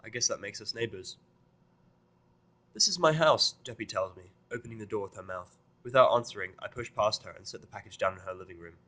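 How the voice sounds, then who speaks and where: Forceful, lowish-voiced man narrating a story. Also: steady tone, fast, hardly any pauses. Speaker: fan fiction reader and narrator. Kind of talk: expressive first-person story narration with sardonic tone.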